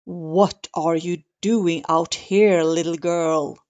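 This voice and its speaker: A woman voicing a sly, hungry male wolf from a bedtime story, coaxing slowly in a croaky voice. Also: fairly low pitch, fairly even intonation, a little mellow. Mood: feigned friendly. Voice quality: croaky, cackly.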